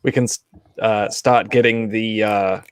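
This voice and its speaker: A man hosting a casual group discussion, speaking informally and a bit haltingly. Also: fairly low pitch, steady tone, clear, resonant tone, no echo. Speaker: host.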